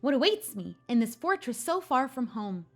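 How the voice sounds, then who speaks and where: A woman's voice: fairly high pitch, moderate pace. A playful woman hosting a gaming video, reading story narration expressively and adding casual commentary. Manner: playful, animated. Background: background music.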